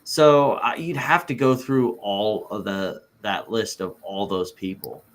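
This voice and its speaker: A lowish male voice of an amateur reader as a businessman witness in a deposition. He is evasive and halting, circling back over his own phrases as he avoids a straight answer. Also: a little hiss or hum, close to an everyday voice. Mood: defensive, evasive. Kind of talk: amateur read-aloud of a deposition transcript.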